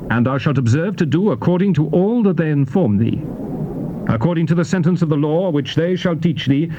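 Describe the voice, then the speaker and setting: A man giving a solemn, measured and declamatory dramatized Bible reading as narrator, phrase by deliberate phrase. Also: mid-range voice. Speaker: scripture narrator. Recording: dull, noisy old cassette tape.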